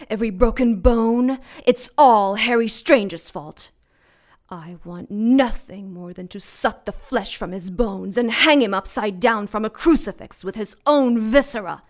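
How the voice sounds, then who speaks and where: A woman playing a vengeful supernatural villain in an audio drama, poised and probing, with quiet menace under her politeness. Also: a little high. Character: Lilith, menacing vengeful female supernatural villain posing as friendly.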